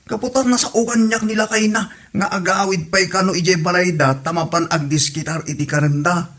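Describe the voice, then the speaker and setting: Casting: actor as fed-up Ilocano husband in radio comedy, notably high male voice, complaining manner. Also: steady tone, a little fast, a bit bright. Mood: grumbling. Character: exasperated husband grumbling about his spendthrift sibling.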